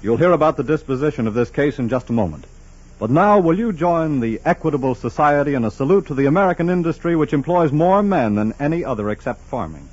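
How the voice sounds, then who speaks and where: A man's voice: fairly high pitch, animated, quick pace, darkish tone, slight background noise. A man announcing for a sponsor in an emphatic, formal, polished 1940s radio style. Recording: muffled 1940s radio broadcast.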